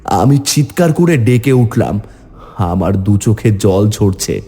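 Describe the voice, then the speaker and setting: A man's voice: expressive, takes it slowly, warm tone, husky, full character voice. A man playing a village man who tells his own horror story dramatically in a cartoon. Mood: grave narration. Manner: theatrical, rising into high pleading. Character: frightened, hungry village man telling his ghost tale. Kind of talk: dramatic first-person horror story narration.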